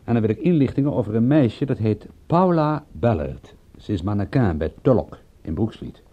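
A man's voice: lowish voice, sing-song, moderate pace. A man playing a cool, businesslike private detective in radio-play dialogue, matter-of-fact with small hesitations. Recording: muffled old radio-play recording.

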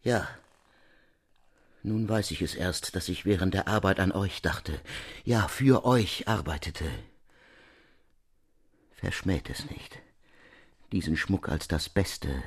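A man's voice: low-pitched, animated, takes it slowly, pauses often, slightly rough, heavily acted. Man playing a fervent, tormented master goldsmith. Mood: solemn devotion. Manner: solemn, pleading, courtly.

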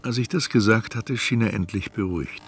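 An actor playing an introspective first-person narrator, giving a measured, precise literary reading in a radio drama. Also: male voice, on the low side, slight background noise, lightly acted. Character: introspective male narrator recounting an intimate encounter.

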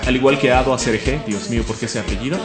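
A man's voice: medium pitch, roomy sound, music in the background. A man with a Mexican accent hosting a comic radio show, joking and enthusiastic. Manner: fast, joking, enthusiastic, laughs often. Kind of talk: casual radio chat.

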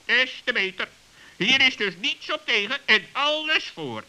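A man's voice: very high voice, expressive, unhurried, heavily acted, low-quality recording. A man playing a clever, persuasive talking bird with a piercing voice and a pedantic, emphatic manner in a children's radio play. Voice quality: sharp, piercing.

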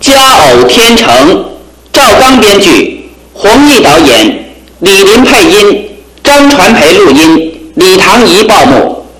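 A man reading out closing credits for a radio drama in a slow, formal announcing style. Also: high voice, very animated, reverberant, a loud crowd, poor audio. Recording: old radio broadcast recording.

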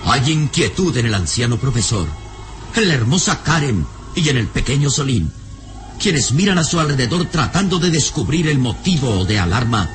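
An actor as a grave, suspenseful narrator, delivering emphatic scripted radio serial narration. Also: male voice, medium pitch, big pitch swings, normal speed, over music, lightly acted.

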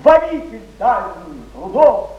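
An actor playing a solemn, world-weary poet, reciting verse fervently with drawn-out theatrical delivery. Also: male voice, very high-pitched, very animated, heavily acted. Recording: muffled echoey old theatre recording, hiss.

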